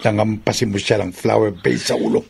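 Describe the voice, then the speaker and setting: An actor playing a ruthless, menacing male criminal accomplice in a horror radio drama, with a gravelly, husky voice. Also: fairly low pitch, flat delivery, brisk, darkish tone, a little hiss or hum. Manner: menacing, coldly decisive.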